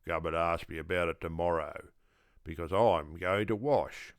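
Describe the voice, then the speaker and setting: A man voicing a lordly, gentlemanly male god in a storybook, measured and courteous but stern when crossed. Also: deep voice, no room sound, studio quality, close to an everyday voice. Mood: dismissive. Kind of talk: storybook character voice.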